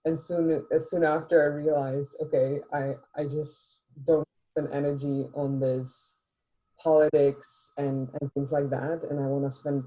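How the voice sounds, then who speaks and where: Low, dark-voiced woman. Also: flat delivery, a little slow, low-quality recording. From Czech Republic. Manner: hesitant, apologetic, many ums. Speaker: call participant, corporate employee. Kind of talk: casual sharing on a call. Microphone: computer audio, no camera.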